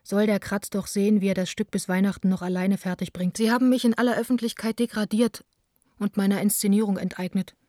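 A woman's voice: medium pitch, steady tone, a little fast, warm tone, no echo, clean studio sound, lightly acted. An actress playing a young woman theatre director, wounded and self-blaming, reading out her intimate letters in a radio drama. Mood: dejected, confiding.

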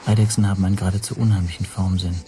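An actor playing an anxious foreign language teacher who narrates his own story in a measured, introspective radio play style. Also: sits low, flat delivery, mellow, soft background music, natural voice. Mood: reflective. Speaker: first-person narrator.

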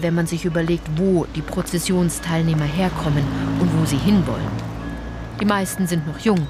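A woman's voice: lowish voice, lightly acted. An actress playing a sardonic female tour guide in a German radio play, addressing listeners directly with theatrical irony. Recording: music under the voice. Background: music.

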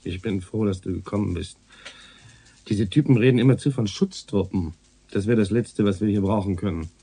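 An actor playing a measured, authoritative police captain in a naturalistic radio drama. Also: male voice, fairly low pitch, over music, lightly acted. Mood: calm, authoritative.

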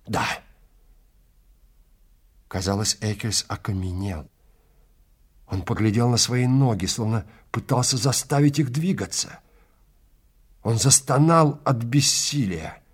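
A man narrating a story from a script in a measured, theatrical, dramatic manner. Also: medium pitch, husky.

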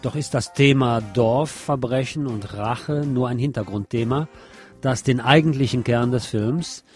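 A man's voice: medium pitch, a little mellow. A man giving a personal, opinionated film review in a conversational radio commentary style.

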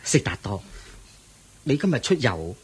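A man playing an anxious, commanding king and father in a Buddhist radio drama, his voice husky. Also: medium pitch, big pitch swings, a little slow, heavily acted. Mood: subdued, evasive.

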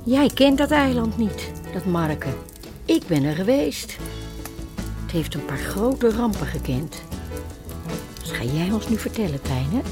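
A woman's voice: mid-range voice, very animated, a little slow, pauses often, over music. A woman voicing an uneasy, superstitious lady in a radio play, wary and insistent.

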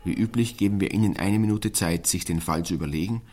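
A man with a very deep voice presents a vintage radio mystery. He speaks in a formal, measured announcer's manner, reading from a script in 1960s style. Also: a little mellow, soft background music.

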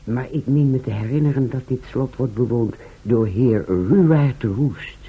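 Cautious formal guide, very hoarse-edged voice, deliberate, radio drama, tinny narrow old recording. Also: male voice, medium pitch. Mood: cautioning.

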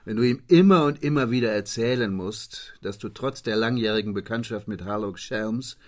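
An actor playing a bored, conceited detective in a German radio play, languid and lecturing in a condescending way. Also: male voice, on the low side, normal speed, lightly acted. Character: bored, conceited male detective, a Sherlock Holmes parody.

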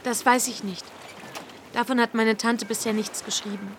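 A woman's voice: a little high, fairly even intonation, moderate pace, a bit bright, slight background noise. An actress playing a modest, reserved young Regency woman in a radio play, speaking precisely and politely. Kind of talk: period radio drama acting.

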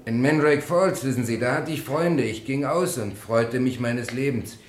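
A man's voice: medium pitch, moderate pace, close to an everyday voice. An actor playing a shy, earnest, polite small-town man in a German radio play, plain-spoken and hesitant. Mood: embarrassed, lonely. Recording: echoey room, faint background noise.

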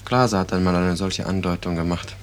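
Ein Schauspieler spielt in einem Hörspiel der fünfziger Jahre einen beschwichtigenden, ausweichenden Ehemann, der zögernd spricht. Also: male voice, low-pitched, steady tone, brisk, close to an everyday voice. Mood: evasive, subdued. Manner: reassuring, hesitant. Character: evasive, soothing husband of a sick woman. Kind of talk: 1950s German radio drama acting. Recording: noisy early 1950s radio recording.

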